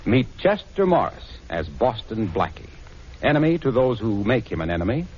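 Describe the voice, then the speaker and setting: Lively 1940s radio announcer, man. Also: fairly low pitch, moderate pace, slight background noise. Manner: polished, emphatic showman's delivery. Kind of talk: 1940s radio sponsor announcing, scripted narration. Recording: dull vintage 1940s radio recording.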